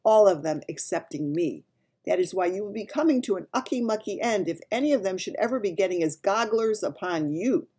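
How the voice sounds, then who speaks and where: A woman voicing a gentle, runty male giant from a children's storybook, talking in muddled grammar and made-up words. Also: mid-range voice, lively intonation, brisk, husky. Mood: emphatic. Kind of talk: dramatised children's storybook reading.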